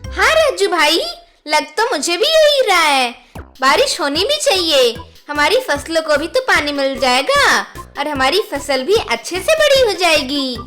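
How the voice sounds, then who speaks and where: A woman voicing an anxious, agreeable male pigeon in a cartoon moral story, animated and earnest. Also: very high-pitched, measured pace, faint music behind, pitched above the performer's own voice, heavily acted. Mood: hopeful.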